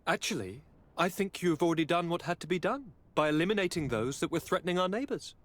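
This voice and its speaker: A breezy young aristocrat from a video game. The voice is fairly high and swings widely in pitch. He is courtly and affectionate, airily brushing things aside with theatrical polish. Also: lively intonation, fast talker, fairly bright tone, dry recording. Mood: politely dismissive. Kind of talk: dramatic video game acting.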